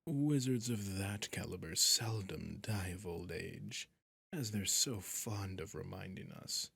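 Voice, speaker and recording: Guy doing a low husky vampire prince, sadistic n possessive, slow menacing whispery asmr roleplay. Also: a bit bright, no room sound, clean studio sound, full character voice. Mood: brooding, vengeful.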